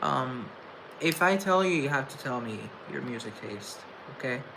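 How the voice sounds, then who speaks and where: Young male streamer, mid voice, halting, stuttering, chatty; noisy webcam sound. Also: medium pitch, lively intonation, gravelly. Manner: halting, stuttering, restarts words; reflective and chatty. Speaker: livestream host. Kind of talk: casual livestream chat, reading chat aloud. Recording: noisy room tone.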